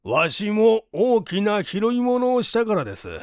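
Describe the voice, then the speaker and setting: Male reader as dignified older benefactor, audiobook drama, unhurried delivery, high animated pitch. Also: clean tone, no room sound, studio quality. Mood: warm, grateful. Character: genial, dignified older gentleman, a generous benefactor.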